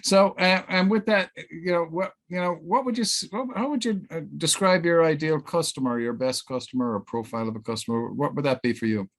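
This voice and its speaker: A man hosting a casual interview, asking questions in a hesitant, halting way. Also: a little high. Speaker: interviewer, host, business coach.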